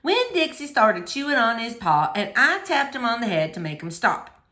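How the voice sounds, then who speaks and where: A woman reading a children's novel aloud as an expressive storyteller. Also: a little high, normal speed, reverberant.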